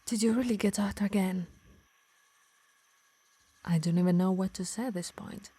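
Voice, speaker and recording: A woman's voice: medium pitch, normal speed, dark tone, breathy edge, dry recording, close to an everyday voice. A woman playing a possessive, teasing harpy healer who scolds fondly in an ASMR roleplay. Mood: exasperated, scolding. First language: Italian.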